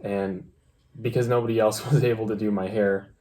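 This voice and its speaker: A young adult man co-hosting a vlog, telling a personal story casually and haltingly, often restarting his phrases. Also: on the low side, steady tone, dark tone. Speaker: vlog co-host. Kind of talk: casual storytime chat with a partner.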